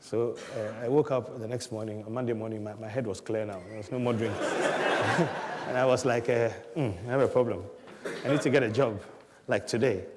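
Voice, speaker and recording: A middle-aged man from Ghana tells his life story in an easygoing way, chuckling often as he goes. His voice sits at a middling pitch. A long reverb trails behind him. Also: fairly even intonation, fairly quick, heavy background noise. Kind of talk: relaxed storytelling.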